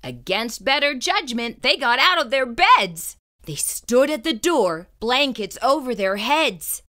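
A woman's voice: a little high, big pitch swings, measured pace, bright, forceful tone. A woman reading a children's story aloud in a suspenseful, theatrical and emphatic way. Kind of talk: dramatic read-aloud narration.

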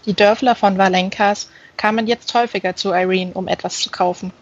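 A woman narrates a novel from a script. Her voice is husky and sits at a middle pitch. The recording sounds a little dull and lo-fi. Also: steady tone, brisk. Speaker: audiobook reader. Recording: slightly dull, band-limited sound.

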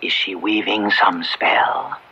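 An actor playing a suspicious, courtly gentleman accuser in a radio drama, theatrical and formal. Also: low-pitched, fairly even intonation, slow pace, breathy edge, heavily acted. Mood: suspicious, bitter. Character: suspicious, aggrieved gentleman accuser, smoothly deferential. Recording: dull, noisy old radio drama recording.

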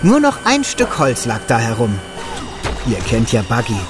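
Friendly builder narrating over music. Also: male voice, medium pitch, huge pitch swings, moderate pace. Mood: storytelling. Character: friendly builder narrating his day for children. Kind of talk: children's audio drama narration. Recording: music behind the voice.

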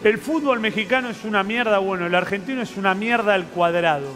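A man with a high voice makes blunt, provocative statements in a TV studio, speaking into a headset mic. Faint music plays behind. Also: a little fast, a bit bright. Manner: emphatic, provocative. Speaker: TV pundit.

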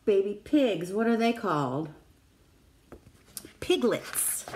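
Middle-aged female preschool teacher for a children's read-aloud; very unhurried, mid-pitched, speaks simply and directly to little ones. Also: a little hiss or hum. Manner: simple child-directed phrasing with questions to listeners. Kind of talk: reading a picture book aloud to young children. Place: small classroom, indoors. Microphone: phone or tablet at arm's length.

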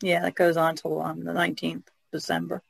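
A woman talks casually as a podcast panelist. Her voice crackles with very heavy fry and is recorded dry. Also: deep voice, little pitch movement, fairly quick, no echo. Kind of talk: casual chat.

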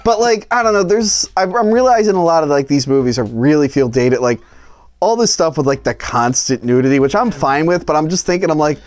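Animated man with a highish voice. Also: a little fast, no room sound. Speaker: movie review show panelist. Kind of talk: casual, animated chat. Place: small indoor set lined with tapes.

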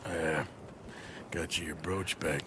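An actor playing a gruff, wry Western outlaw in a video game, with an American accent and a dry, laconic, folksy manner. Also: male voice, a bass voice, fairly even intonation, takes it slowly, noisy background. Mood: guarded. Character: gruff male Western outlaw, awkward, wry and self-deprecating. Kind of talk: naturalistic game dialogue.

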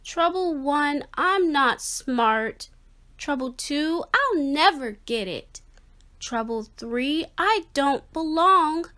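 Woman voicing a high-pitched, gloomy little boy fish. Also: expressive, slow pace, pushed and bright, full character voice. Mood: dejected. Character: discouraged young male fish schoolboy, self-doubting.

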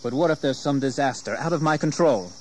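Man playing defiant, resentful young gentleman. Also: mid-range voice, a little fast, slight background noise, close to an everyday voice. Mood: pleading, dismayed. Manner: brooding, resentful. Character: bitter, defiant young gentleman, rebellious and proud. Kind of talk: dramatized audio history play.

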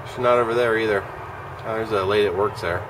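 Fortyish guy, slightly low voice, wry deadpan vlog chatter, recorded at arm's length in a busy parking lot. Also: fast talker. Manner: dry, wry running commentary, muttered asides. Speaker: vlogger. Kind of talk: rambling vlog commentary. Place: outdoors at a shopping center parking lot. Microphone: handheld camera at arm's length. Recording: handheld camera outdoors with traffic noise. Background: traffic and outdoor ambience.

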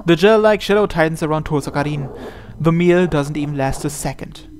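Higher-pitched man reading dramatic narration. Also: brisk, a little mellow, slight background noise. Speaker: host reading a visual novel aloud. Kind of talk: scripted narration.

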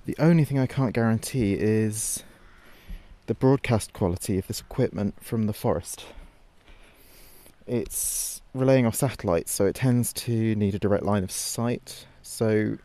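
A man acting as a radio DJ in an audio drama, reporting a disaster live in a halting, anxious, fearful way. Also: medium pitch, mellow, dry recording, natural voice. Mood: wary, weary.

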